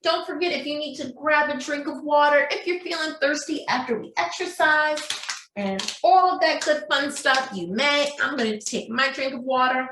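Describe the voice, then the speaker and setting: A woman's voice: high voice, normal speed, a bit bright, slight background noise. A woman preschool teacher reading aloud and leading a craft lesson in a sing-song way, asking young children questions. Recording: roomy, echoey indoor sound.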